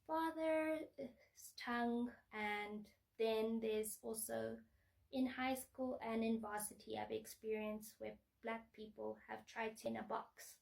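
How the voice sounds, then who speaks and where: A woman vlogger speaking to the camera in an earnest, measured, reflective way. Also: fairly high pitch, vocal fry, recorded from a distance, rough recording. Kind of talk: personal vlog monologue.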